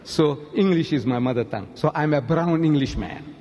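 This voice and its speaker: A man's voice: fairly high pitch, moderate pace, dark tone. A man replying insistently in a live debate, repeating himself to make a point. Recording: dull lo-fi audio with hall reverb.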